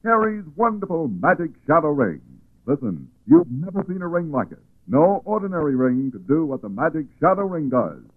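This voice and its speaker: An American man working as a radio announcer, reading a 1940s advertisement as an excited, emphatic sales pitch. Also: moderate pace. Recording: tinny narrow-band 1940s radio recording.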